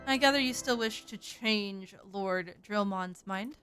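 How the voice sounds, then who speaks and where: A woman reading aloud the lines of a gruff, old-fashioned army camp cook from a game, in a near-everyday voice. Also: medium pitch, unhurried, fairly bright tone, soft background music, close to an everyday voice. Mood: matter-of-fact.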